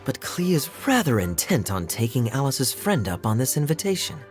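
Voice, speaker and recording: An actor voicing a suave, wry adult knight in a video game. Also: male voice, mid-range voice, sing-song, normal speed, faint music behind, natural voice.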